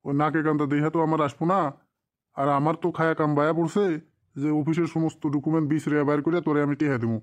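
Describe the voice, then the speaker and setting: A man's voice: a little high, level tone, fast, close to an everyday voice. A man voicing a callous, exploitative cartoon boss, scolding and dismissive in a rural Bengali dialect. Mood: scornful. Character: callous, exploitative boss and his bullying henchman. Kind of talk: cartoon drama dialogue.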